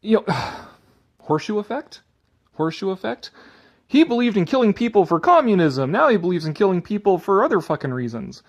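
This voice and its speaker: A man's voice: high voice, very animated, fairly quick. A man giving a dramatic reading with sarcastic, exasperated asides and casual swearing, shifting between declaiming and offhand muttering. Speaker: commentator reading an article aloud.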